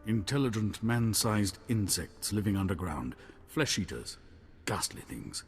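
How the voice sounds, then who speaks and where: Courtly male count, low voice, animated, formal, grave, game cutscene with music. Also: measured pace. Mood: disgusted. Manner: formal, expressive. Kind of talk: video game cutscene acting.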